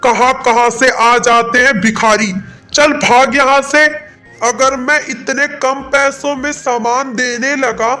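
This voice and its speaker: A man's voice: very high-pitched, steady tone, slow pace, bright, forceful tone, faint music behind, heavily acted. A man voicing a rich, stingy crow boss in a children's cartoon, with a haughty, theatrical manner. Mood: scornful. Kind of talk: Hindi children's cartoon dialogue.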